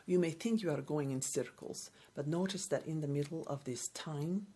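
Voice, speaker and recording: A woman playing a gentle, unhurried female card reader in a soft-spoken ASMR role play, halting and reassuring as she goes. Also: deep voice, husky. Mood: calm, encouraging. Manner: halting, hesitant, reassuring, short simple phrases.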